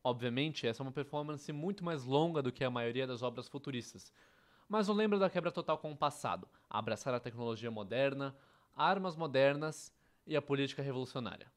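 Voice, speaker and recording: A man's voice: medium pitch, expressive, fairly quick. A man with a Brazilian accent presenting an educational course in scripted narration. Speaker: educational presenter dubbing a theatre history course.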